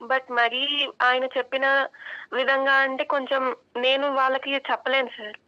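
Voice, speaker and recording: A woman's voice: sits high, level tone, moderate pace. A young woman speaking as the wife in a counseling session, arguing and explaining, on slightly dull sound. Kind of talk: spontaneous argument and explanation.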